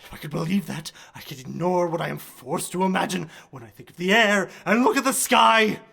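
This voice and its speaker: A man's voice: high voice, fairly quick, vocal fry, pushed and bright, slightly rough, full character voice. An actor playing a distraught, haunted male asylum patient, pleading and agitated, in a radio horror drama.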